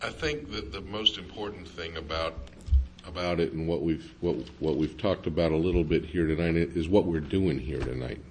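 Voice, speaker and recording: A man's voice: sits low, normal speed, background noise. A man speaking as a panelist at a public forum, hesitant with many fillers and restarts.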